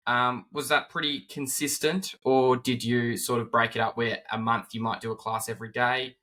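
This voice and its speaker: A man hosting a casual conversation, relaxed and full of hedging words. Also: mid-range voice, normal speed. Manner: relaxed, sprinkled with hedges like "sort of". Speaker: podcast host.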